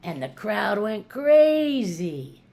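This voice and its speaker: An older woman reads a children's picture book aloud at a slow pace. Her delivery is expressive and rhythmic, with playful drawn-out emphasis on key words, in a mid-pitched voice. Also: gravelly. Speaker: retired teacher reading to children.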